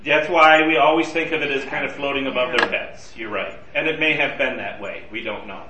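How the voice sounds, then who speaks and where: A man's voice: mid-range voice, brisk, heavy background noise. A man teaching a Bible study class in a conversational way, asking his group questions. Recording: roomy and distant from the mic.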